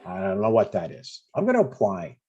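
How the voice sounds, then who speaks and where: A man's voice: low voice, wildly swooping pitch, fairly quick, warm tone, breathy edge, lo-fi sound, exaggerated voice. A man in his sixties playing an earnest, eager but stubborn dad in a conversational comedy table read. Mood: hopeful.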